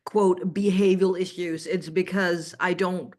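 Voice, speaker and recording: A middle-aged woman with a Danish accent speaks as an interview guest. Her pitch is a little low and her pace is very slow, with measured, halting explanations. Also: steady tone. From Denmark. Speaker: interview guest, animal communicator.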